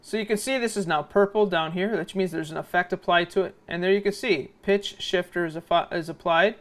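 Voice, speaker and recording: A man's voice: high-pitched, fairly quick, fairly bright tone. A man narrating an informal video editing tutorial, explaining step by step and often checking in with a short questioning tag. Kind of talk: software tutorial screencast narration.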